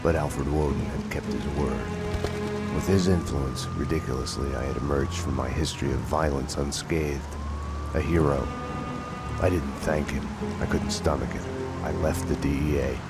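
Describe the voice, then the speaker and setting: Very deep, brooding noir detective. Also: male voice. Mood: brooding, fatalistic. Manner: slow, grim, deadpan, poetic. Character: brooding, world-weary, guilt-ridden male homicide detective. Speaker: narrator and protagonist. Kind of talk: noir scripted narration. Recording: loud music behind.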